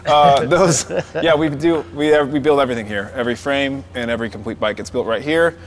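A man's voice: medium pitch, a little fast. Laid-back man in an echoing warehouse. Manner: laid-back, plain-spoken, blunt. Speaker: company guide. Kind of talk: casual tour explanation. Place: large warehouse factory. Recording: long hall reverb, faint noise.

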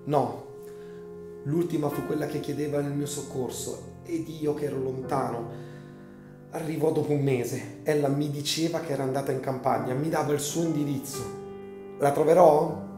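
A man playing an anguished young gentleman in a radio drama, dramatic and emphatic, full of emotion. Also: on the high side, fairly even intonation, moderate pace, close to an everyday voice. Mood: anxious. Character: passionate, anguished young gentleman fearing for his sister. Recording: echoey room, music underneath.

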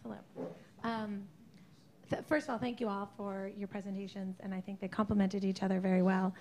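A woman in her thirties asking a panel a question over a handheld mic in a conference room, halting and restarting often. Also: medium pitch, flat delivery, darkish tone, a little hiss or hum. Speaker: audience questioner. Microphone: handheld microphone.

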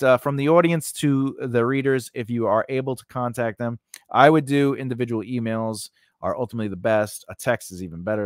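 Guy doing a casual tutorial, really animated voice, dry recording, keeps checking you're following. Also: mid-range voice, dry recording. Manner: conversational, repeats phrases and checks in with "okay?" and "all right?". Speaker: instructor and host of a writing lesson. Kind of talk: informal screen-share tutorial, unscripted.

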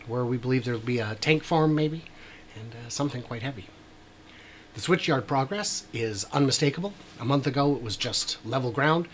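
A man's voice: medium pitch, slight background noise. A man in his forties giving casual, wry commentary over drone footage as a YouTube host, with frequent filler words. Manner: chatty, wry asides, many "uh" fillers. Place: small room with a brick wall.

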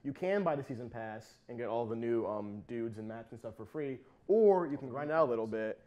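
A man in his twenties hosts a casual studio chat about games. His voice sits at a middling pitch, and he talks in an animated, joking way. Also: a little fast, highs cut off. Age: 20s. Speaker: talk show host. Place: small TV studio, seated round a table. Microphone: lapel mic.

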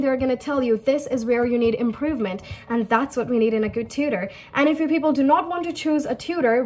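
A woman's voice: high-pitched, a little mellow. A young woman, teaching viewers in a chatty way and often repeating her points and asking them questions. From India. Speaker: English tutor. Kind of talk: casual teaching talk to camera. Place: small indoor room.

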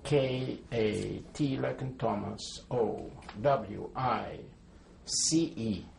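A man's voice: mid-range voice, extremely slow, vocal fry, a little mellow, a little hiss or hum. A man in his seventies, born in Poland, giving a careful oral history testimony and spelling names letter by letter. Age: 70s. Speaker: interviewee.

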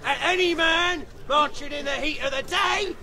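An actor playing a gruff medieval army sergeant in a radio drama, barking orders at the troops. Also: male voice, very high-pitched, moderate pace, bright, forceful tone, heavily acted. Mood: stern threats.

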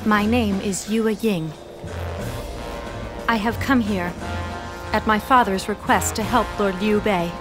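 A woman's voice: mid-range voice, slow, pauses often, music in the background, lightly acted. An actress playing a poised, dutiful young noblewoman of ancient China in a video game, speaking formally and courteously. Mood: courteous, reserved. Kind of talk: dubbed video game cutscene acting.

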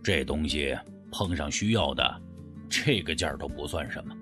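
A man voicing an older medicine teacher in an audiobook, measured and reflective, speaking in an understated, reluctant way. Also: extremely low pitch, lively intonation, music in the background, voice pushed down. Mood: matter-of-fact.

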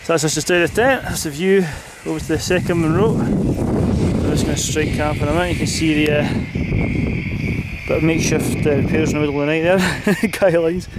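A man's voice: fairly high pitch, steady tone, a little fast, very noisy. A man giving casual, understated and hesitant narration of a hiking trip on a handheld camera. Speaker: hiker filming a camping trip. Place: outdoors on hills and inside a small tent. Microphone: handheld camera mic.

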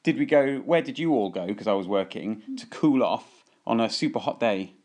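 Very low-voiced woman, casual chat. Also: animated, normal speed, a little mellow. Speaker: podcast host, parent. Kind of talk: casual family podcast chat, asking questions.

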